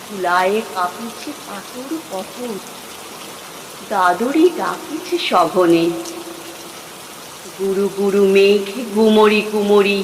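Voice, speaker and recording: A woman gives an expressive poetry recitation, with dramatic, drawn-out phrasing and deliberate pauses. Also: medium pitch. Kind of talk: expressive Bengali poem recitation. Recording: long hall reverb, clear background noise.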